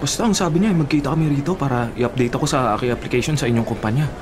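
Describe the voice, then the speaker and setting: Fast-talking, earnest young Filipino man, played by an actor. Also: mid-range voice, noisy background, lightly acted. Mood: awkward, polite. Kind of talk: Filipino radio drama acting.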